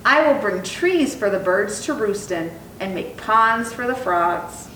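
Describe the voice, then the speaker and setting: Woman reading as eager little boy, storybook, earnest, imaginative, lively pitch, roomy sound. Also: a little slow. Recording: echoey room, distant mic, faint rumbling noise.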